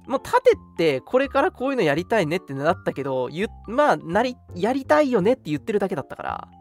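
Lively young man chatting casually. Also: high-pitched, quick pace, faint music behind. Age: 20s. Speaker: radio show co-host and drama studio member. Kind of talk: casual reminiscing chat.

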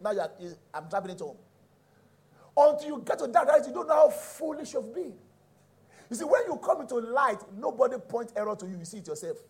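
A Nigerian man preaches in a high, widely swinging voice. He sounds gravelly and drives each teaching point home with emphatic repetition, often turning to his listeners with questions. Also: sing-song, fast. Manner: emphatic, repeats key phrases, checks in with listeners. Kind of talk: teaching sermon.

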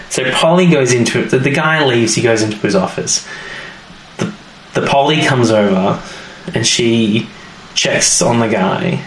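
A male podcast co-host retells a story in a loose, conversational way, pausing and restarting with small asides. His pitch sits in the middle. The room is echoey, with faint noise behind him. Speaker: podcast co-host recapping a TV episode. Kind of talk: casual chat, episode recap. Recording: roomy echo, faint background noise.

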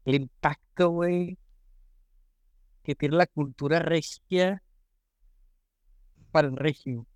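Casting: young Monterrey man, podcast co-host, slightly high voice, short joking asides, dry close mic. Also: slow pace, long pauses, no room sound. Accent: northern Mexican (Monterrey). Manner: short interjections, joking slang. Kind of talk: casual chat.

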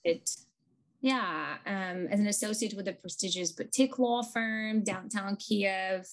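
A woman from Ukraine with a Ukrainian accent, a lawyer telling her story in a conversational interview, thoughtful with frequent ums. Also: mid-range voice.

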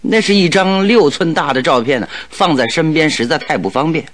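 A man's voice: a little high, animated, brisk, a bit bright. An actor playing a clever, confident detective in a radio drama, explaining his schemes in a persuasive, eager way. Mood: confident scheming. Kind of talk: Chinese radio drama acting.

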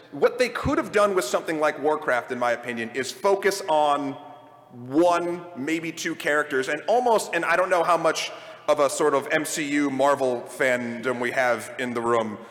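A man hosts a live panel discussion in a big reverberant space. His voice sits a little high, and he talks in a rambling, opinionated way, working out his points as he goes. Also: moderate pace, a bit bright. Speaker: panel host leading a discussion of video game movies. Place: large room at a convention. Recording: echoey hall, live panel recording.

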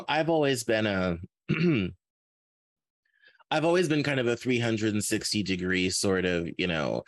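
A man's voice: fairly low pitch, expressive, unhurried, long pauses, dry recording. A man chatting casually as a podcast interview host, often repeating and rephrasing his words as he feels out a thought. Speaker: interview host and fiction author.

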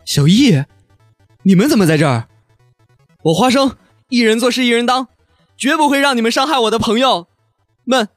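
A man playing a plucky, loyal high-school boy in an audio drama, earnest and heroic. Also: lively intonation, moderate pace, long pauses, no echo, clean studio sound, soft background music. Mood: defiant.

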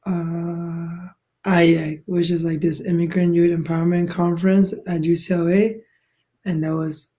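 A man's voice: fairly high pitch, warm tone. A young man from Thailand speaking as a podcast guest. He talks in a rambling, laid-back way, with many fillers and restarts. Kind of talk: casual conversational interview.

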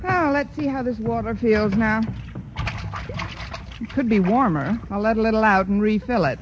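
An actress playing a dry, sarcastic, quick-witted schoolteacher in a 1940s radio sitcom. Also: female voice, fairly high pitch, close to an everyday voice. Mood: wry. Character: wisecracking, sardonic female schoolteacher. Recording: noisy lo-fi vintage radio broadcast.

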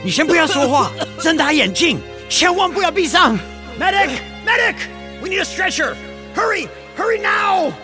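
Animated high-pitched missionary priest. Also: male voice, normal speed. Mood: urgent, alarmed. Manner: animated, pleading, mixes in English phrases. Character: earnest Dutch missionary priest, adult man, urgent and conciliatory. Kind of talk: radio drama acting. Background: music.

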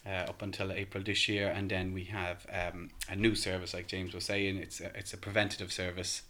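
This is a man in his thirties with an Irish accent, talking casually as a podcast guest. His voice sits very low. He hesitates and restarts often, filling gaps with ums. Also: flat delivery, a little fast, sharp tone. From Ireland.

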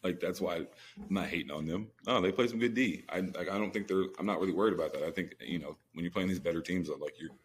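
A man's voice: low voice, fast, husky. A man co-hosting a podcast, arguing sports opinions in a casual, slangy way, with some noise on the recording. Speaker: podcast co-host.

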